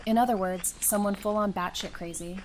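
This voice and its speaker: A woman's voice: medium pitch, fairly even intonation, a little fast, a little hiss or hum, natural voice, low-quality recording. Woman acting an emotional young mother on the run. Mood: wry. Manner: emotional, plain-spoken. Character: anxious, loving wife and mother among apocalypse survivors. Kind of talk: fan audio drama acting.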